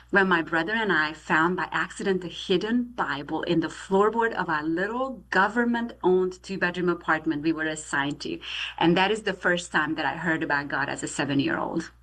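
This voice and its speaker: A middle-aged woman from Romania with a Romanian accent, telling her life story as a guest in an earnest, steady way. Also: on the low side, rough recording. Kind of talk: testimony interview.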